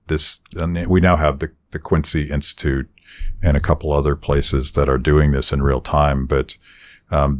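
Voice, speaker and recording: Extremely deep-voiced male host. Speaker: interview host. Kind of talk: casual conversational interviewing.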